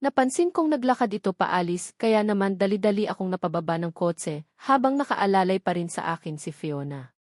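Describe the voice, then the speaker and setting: A woman's voice: mid-range voice, expressive, a little fast, a little mellow, no room sound, studio quality. A woman with a Filipino accent reading a dramatic first-person novel as an audiobook narrator.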